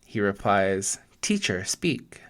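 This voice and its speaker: Slow-paced man leading guided meditation. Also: lowish voice, big pitch swings. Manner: gentle, deliberate, pausing between short phrases.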